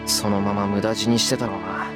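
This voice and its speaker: A man voices an earnest, stubborn teenage boy in a visual novel, absorbed in inner monologue. The lines come quickly, with faint game music underneath. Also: lowish voice, level tone, natural voice. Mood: brooding, resolute.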